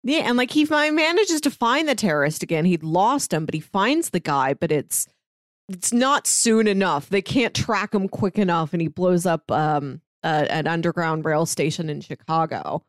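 A woman's voice: on the low side, expressive, moderate pace, no echo, clean studio sound. A woman co-hosting a podcast, casually retelling a story with plenty of filler "likes". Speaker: podcast co-host. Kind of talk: casual chat, retelling a TV show episode.

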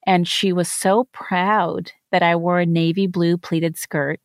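A woman's voice: on the low side, level tone, takes it slowly, dry recording, studio quality. A woman podcast host from India, speaking in an earnest, reflective way in a conversational interview.

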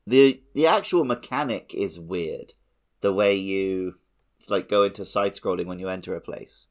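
A man with a deep-pitched voice co-hosts a podcast. He talks through his opinions in an informal, conversational style. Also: normal speed. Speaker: podcast co-host. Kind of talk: casual discussion.

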